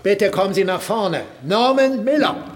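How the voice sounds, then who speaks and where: An actor playing a formal university official announcing loudly and ceremonially from a distance, with noise around him. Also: male voice, high voice, animated, moderate pace. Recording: distant voice in noisy hall.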